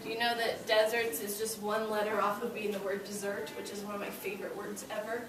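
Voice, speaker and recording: A woman's voice: mid-range voice, level tone, brisk. A woman teaching young children in an interactive read-aloud lesson, addressing them directly with short prompts and questions. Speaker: elementary school teacher. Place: classroom. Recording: roomy, distant mic with background noise.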